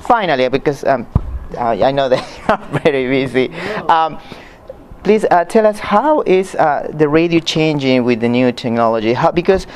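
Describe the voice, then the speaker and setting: A man conducting a conversational interview in non-native English, often halting as he searches for words. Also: medium pitch, lively intonation. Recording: noticeable background noise.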